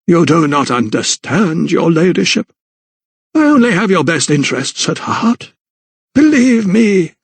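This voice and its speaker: A man's voice: fairly high pitch, sing-song, vocal fry, breathy edge, dry recording, voice pushed up, heavily acted. A man voicing a sinister, condescending elderly vicar, a religious fanatic, in an audiobook. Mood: coaxing, insistent. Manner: slow, sanctimonious, unctuous. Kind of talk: dramatised audiobook character dialogue.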